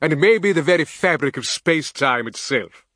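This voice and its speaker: A brash, theatrical male time-traveller who declaims in a raised, lively voice, as a man reads him out in an audiobook. He speaks with pushy insistence, and his pitch swings widely. The sound is close and dry. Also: moderate pace, no echo. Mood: declaiming. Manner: emphatic, declaiming, higher and louder than the narration. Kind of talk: audiobook character voice.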